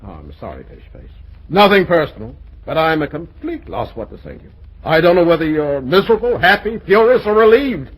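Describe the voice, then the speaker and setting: Blustering radio-play father, middle-aged, sarcastic and husky, very animated delivery. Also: fairly high pitch, fairly quick, low-quality recording. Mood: flustered, incredulous. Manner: blustery, theatrical, dryly sarcastic. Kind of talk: old-time radio comedy acting.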